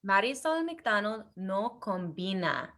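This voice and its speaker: A woman co-hosting a children's bilingual read-aloud over a video call, speaking with expressive enthusiasm. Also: mid-range voice, slow. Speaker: bilingual language teacher and read-aloud co-host.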